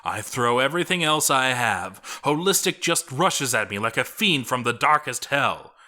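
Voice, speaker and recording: A man reading a scripted story as a dramatic narrator, theatrical and deliberate, with pauses for effect. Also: medium pitch, expressive, sharp tone.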